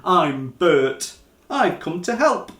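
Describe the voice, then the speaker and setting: A man's voice: high voice, big pitch swings, slow, fairly bright tone, full character voice. Man voicing a hearty, eager abominable snowman, roomy sound. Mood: eager, helpful. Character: friendly, eager young male abominable snowman. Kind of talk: children's picture book character voice.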